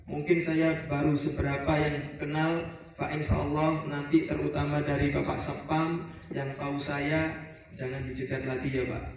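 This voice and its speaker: A man from Surabaya preaching a relaxed Eid sermon to a housing community, rambling at times and mixing in Arabic prayer phrases. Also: fairly high pitch. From Surabaya, Indonesia. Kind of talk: Eid sermon to a residential congregation. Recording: very echoey, heard from a distance over a PA.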